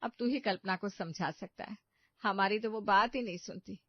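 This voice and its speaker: A woman's voice: medium pitch, moderate pace, no room sound, close to an everyday voice. Woman as a fretful older cartoon mother. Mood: worried. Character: worried, homely older mother of grown children. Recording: muffled dry studio recording.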